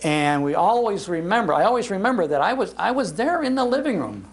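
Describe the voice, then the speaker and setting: Older Midwestern man with a creaky voice. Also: high voice, a little fast. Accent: American Midwestern. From Chicago, United States. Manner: folksy, animated, chuckling, repeats phrases for emphasis. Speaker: speaker giving a talk on old-time radio. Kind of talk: nostalgic storytelling to a live audience. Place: public library, live talk.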